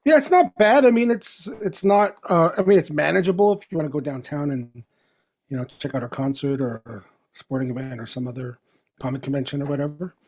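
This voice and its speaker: A middle-aged man from the Philippines, a guest chatting casually in an interview, relaxed and chuckling as he talks. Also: a little high, a little fast. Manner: relaxed, chuckling, trails off and restarts.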